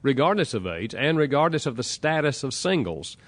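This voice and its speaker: A man with a Southern American accent, an author answering interview questions in a calm, explanatory way and walking through examples. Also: mid-range voice, fairly quick. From Southern United States.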